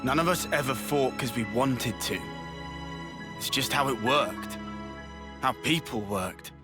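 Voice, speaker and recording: Guy playing hot-headed game soldier, really lively pitch, blunt casual talk, music loud behind. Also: measured pace, natural voice. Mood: defensive, irritated. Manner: blunt, informal. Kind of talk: video game cutscene acting. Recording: loud music under dialogue.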